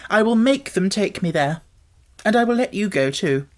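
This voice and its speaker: Storybook sickly boy, woman narrator acting: imperious, insistent, inquisitive, lifted pitch. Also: lively intonation, moderate pace, a little mellow, natural voice. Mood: demanding, excited. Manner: demanding, insistent. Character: imperious, sickly young boy, curious and persistent.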